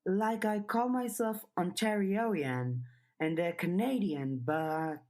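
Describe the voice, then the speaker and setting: A woman's voice: on the low side, slow, a little mellow, pitched above the performer's own voice. A woman voicing an eager, earnest girl in an audiobook, chatty and questioning, a little tentative. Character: eager, earnest girl who loves puzzles, overthinking. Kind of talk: audiobook character dialogue.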